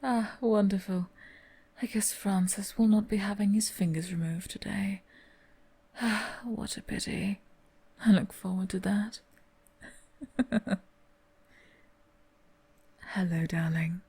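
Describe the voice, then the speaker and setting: A woman acting in an audio roleplay as a cold, commanding mistress, often whispering with a breathy voice. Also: mid-range voice, takes it slowly, long pauses, dark tone. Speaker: channel host acting a cold manor mistress.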